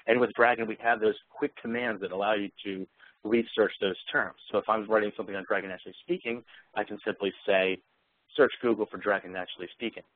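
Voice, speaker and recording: A man giving a live software demonstration, explaining features and speaking voice commands as he goes. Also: on the low side, fairly even intonation, quick pace, no echo, phone-quality sound.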